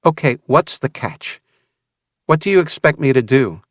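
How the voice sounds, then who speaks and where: A cynical young woman with a guarded, skeptical edge, as a male audiobook narrator puts her on. The voice sits much higher than his usual deep tone, with an altered timbre. It is recorded dry and close. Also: expressive, moderate pace, dry recording, close to an everyday voice. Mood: wary. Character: wary, streetwise young woman, tempted by money.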